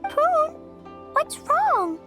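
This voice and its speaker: A woman's voice: very high voice, very animated, drawn-out words, exaggerated voice. A woman voicing a sweet, playful and trusting little witch girl in a video game, with a bubbly childlike manner. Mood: caring. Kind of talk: video game character acting. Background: background music.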